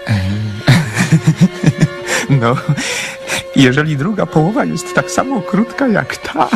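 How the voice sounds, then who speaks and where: A man playing a gentle young dreamer in love in a radio play, with a husky, breathy voice and a halting, earnest, tender manner. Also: a little high, huge pitch swings, heavily acted. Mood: hesitant. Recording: faint music under the voice.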